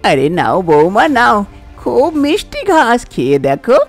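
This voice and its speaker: A woman's voice: on the high side, very animated, normal speed, vocal fry, faint music behind. A woman voicing a scheming middle-aged village mother-in-law in a cartoon tale, coaxing and insistent.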